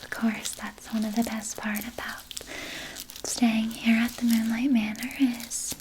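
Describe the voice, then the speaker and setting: A woman voicing a sly, doting vampire nurse in a breathy, soothing whisper for an ASMR roleplay. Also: fairly high pitch, flat delivery, a little hiss or hum, lightly acted, rough recording. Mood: soothing, caring. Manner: slow, soothing, coaxing, softly articulated. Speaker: ASMR performer.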